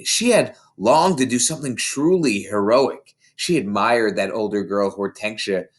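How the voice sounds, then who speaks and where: A man reading a children's story aloud in an expressive way, like an audiobook narrator. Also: lowish voice, a little slow. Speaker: story reader.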